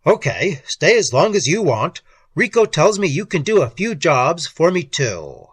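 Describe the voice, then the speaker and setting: A man voicing a gruff, bullying crime boss in a story reading, with a husky voice and a barking, bossy delivery. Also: a little high, big pitch swings, unhurried, no room sound, voice pushed up, full character voice. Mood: bossy, brusque. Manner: barking, domineering.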